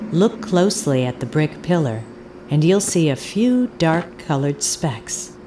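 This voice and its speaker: An actress playing a haunted audio tour guide in a fiction monologue, speaking deliberately and haltingly, with insistent repetition. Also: female voice, low-pitched, background noise. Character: eerie, haunted woman guiding an audio tour. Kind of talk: dramatic second-person audio fiction monologue.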